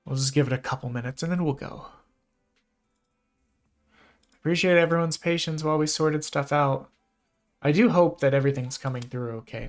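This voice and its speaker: Male streamer, congested, gravelly, casual rambling chat. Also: mid-range voice. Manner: relaxed, rambling, self-deprecating. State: stuffy, congested nose. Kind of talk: casual live-stream chat while gaming.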